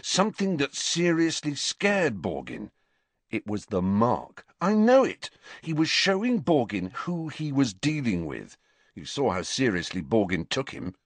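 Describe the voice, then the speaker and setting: Man voicing stubborn teenage boy wizard, raised pitch, emphatic swings, insistent, audiobook character. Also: big pitch swings, a little slow, breathy edge, dry recording, full character voice. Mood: stubborn, convinced.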